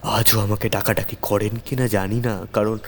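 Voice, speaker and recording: A man narrating a first-person horror story theatrically, with suspenseful pauses and repeated words. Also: on the low side, flat delivery.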